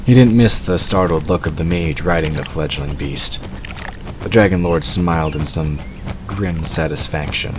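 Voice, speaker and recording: A man's voice: extremely low pitch, normal speed. A man reading scripted fantasy narration for an audio drama. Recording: clearly noisy background ambience.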